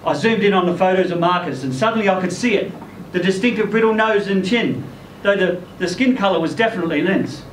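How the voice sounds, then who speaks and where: A man's voice: sits high, brisk. A man performing a first-person short story aloud at a live event, as its narrator. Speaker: story reader performing in character as ageing rock singer. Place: indoor live literary event venue. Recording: echoey room, distant mic, faint noise.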